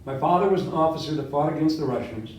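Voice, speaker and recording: An older man, a history professor born in Latvia with Latvian as his first language, giving a classroom lecture and quizzing his students, talking with lively gestures. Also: fairly high pitch, quick pace, a little hiss or hum. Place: seminar room with whiteboard. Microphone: far camera. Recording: echoey classroom from far off.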